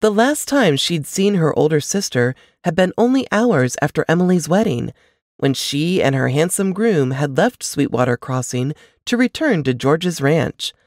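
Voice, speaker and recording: A woman narrates fiction in an audiobook style. Her delivery is measured and expressive, with a very animated melody that rises and falls through the phrases. The sound is dry, with little room around the voice. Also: deep voice, no echo. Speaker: author reading aloud from a novel.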